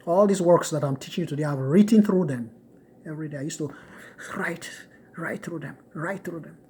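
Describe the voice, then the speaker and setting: Husky-voiced man from Nigeria lecturing. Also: on the high side, brisk, darkish tone. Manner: emphatic, repetitive, insistent. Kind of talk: motivational lecture.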